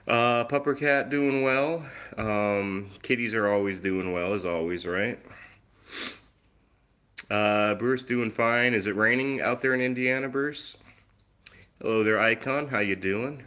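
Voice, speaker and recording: A man's voice: mid-range voice, measured pace, bright, forceful tone. A man hosting a casual live stream on his phone, chatty and easygoing, with a lingering cough that leaves his voice a little off. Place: outdoors, then indoors at home.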